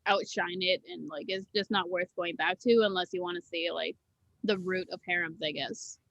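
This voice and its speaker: A woman's voice: on the low side, fairly even intonation, moderate pace, vocal fry, a bit bright, muffled recording. Rambling woman full of filler words. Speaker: podcast co-host. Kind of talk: casual group chat.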